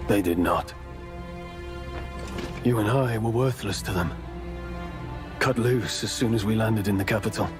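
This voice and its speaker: A man playing a bitter old friend in a dramatic game story, grave, measured and reproachful, over music. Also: on the low side. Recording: music underneath.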